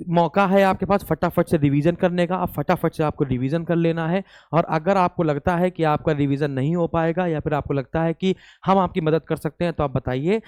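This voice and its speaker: A man speaks as an online coaching teacher in a crisp, clean voice. The recording is close and dry. He explains informally to students, repeating key phrases and checking that they follow. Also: a little high, a little mellow, no echo. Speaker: teacher and presenter of an exam-coaching channel. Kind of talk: informal exam news update to students.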